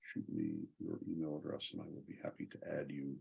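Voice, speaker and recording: A man with an extremely low voice full of creak, talking casually as host of a technical group call. He explains things step by step in an informal way. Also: very animated, fairly quick, a little mellow, dull audio. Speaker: host of a software community call.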